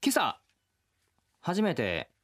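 A man's voice: fairly high pitch, huge pitch swings, drawn-out words, a bit bright. A man who works as a voice actor, co-hosting a radio show with casual banter.

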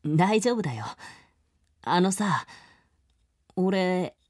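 An actor playing a frail, earnest young boy in a radio drama, speaking softly and boyishly to a friend. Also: high-pitched, expressive, very slow, no echo, studio quality, full character voice. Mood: sad, hopeful.

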